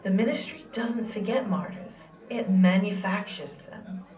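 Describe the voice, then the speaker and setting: A woman acting as a sober, cynical soldier in a sci-fi audio drama. She reports in terse, matter-of-fact phrases at a medium pitch, slightly distant in a roomy space. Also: measured pace, darkish tone, lightly acted. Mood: grim, cynical.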